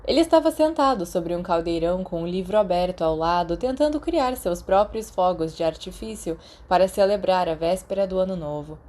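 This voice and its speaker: A woman's voice: fairly low pitch, lively intonation, a little fast, hardly any pauses, mellow. A woman with a Brazilian accent reading scripted fiction as an audiobook narrator.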